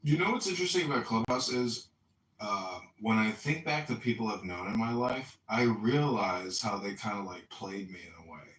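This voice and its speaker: A man's voice: lowish voice, expressive, normal speed, a bit bright. A man hosting a casual live audio chat, joking and rambling with trailing sentences. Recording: echoey room from far off with faint noise.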